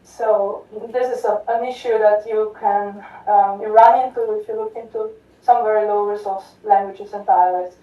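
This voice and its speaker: A woman's voice: mid-range voice, mellow. A woman giving an academic conference talk, with many fillers and restarts, often checking in with "right?". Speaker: conference speaker. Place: lecture hall. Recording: distant echoey lo-fi hall recording.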